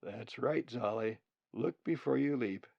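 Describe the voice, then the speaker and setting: A kindly male raven teacher from a storybook, read by a man. He speaks without hurry, with some gravel in the voice, patient and encouraging as he instructs. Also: medium pitch, unhurried, no room sound, highs cut off. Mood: patient teaching.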